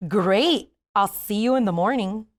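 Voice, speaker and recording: A woman's voice: mid-range voice, animated, a little slow, no room sound, clean studio sound, lightly acted. A woman playing a caring mom reading out her text messages in a comedy table read.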